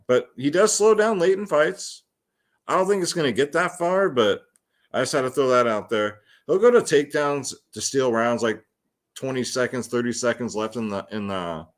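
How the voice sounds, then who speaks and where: Guy hosting fight picks, casual slangy talk to the viewers, regular mid pitched voice. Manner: slangy, addresses viewers as guys and man. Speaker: host of a fight picks and fantasy sports show. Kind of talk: casual solo fight predictions and betting commentary.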